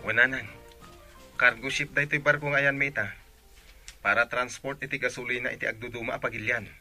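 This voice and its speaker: Young sailor sweetheart, really sharp forceful voice, reassuring, radio drama. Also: male voice, mid-range voice, steady tone, fairly quick, soft background music.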